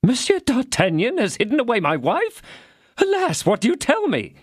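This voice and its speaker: A man voicing a frightened, fawning tradesman who pleads in a shrill, flustered voice. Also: huge pitch swings, normal speed, no room sound, studio quality, exaggerated voice. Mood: pleading. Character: frightened fawning middle-aged male mercer.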